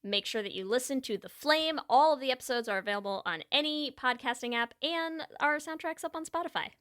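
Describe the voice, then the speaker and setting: Bright, clear woman podcast co-host. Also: sits high, animated, a little fast. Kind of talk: casual podcast chat and sign-off.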